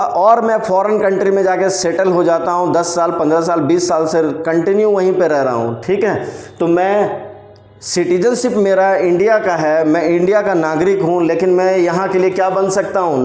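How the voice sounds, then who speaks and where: An Indian man teaching a commerce class, explaining slowly and checking in with his students after almost every point. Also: high-pitched, darkish tone. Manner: explains slowly, repeats points, frequent "theek hai" check-ins. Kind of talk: classroom lecture on tax rules. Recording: roomy echoey room sound.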